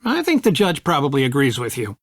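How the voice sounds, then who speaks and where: A man's voice: mid-range voice, brisk, dry recording. An actor with an American accent playing a supportive middle-aged father and level-headed advisor in a video game. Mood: thoughtful, calm. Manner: affectionate, calm, thoughtful.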